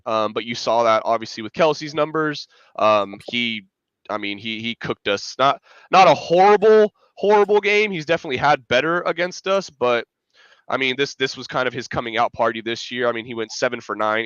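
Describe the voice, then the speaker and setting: A man's voice: medium pitch, moderate pace, a bit bright, clean tone, no room sound, highs cut off. A man with a stuffy, congested voice from a cold, rambling in casual sports podcast chat with lots of fillers. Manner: rambling, filler-heavy, restarts often. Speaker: podcast co-host, fan analyst.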